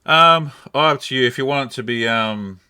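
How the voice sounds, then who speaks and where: A man's voice: mid-range voice, normal speed, bright, forceful tone, smooth. A young man co-hosting a podcast in casual chat, speaking in a hesitant, meandering way. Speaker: podcast co-host.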